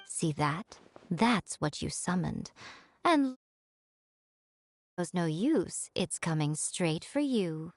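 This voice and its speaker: A woman playing a poised, teasing shrine maiden in an anime game, speaking with sly, measured authority. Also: fairly low pitch, big pitch swings, no room sound, heavily acted. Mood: cool, teasing. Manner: sly, composed, measured lecturing. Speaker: video game voice actress. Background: game music.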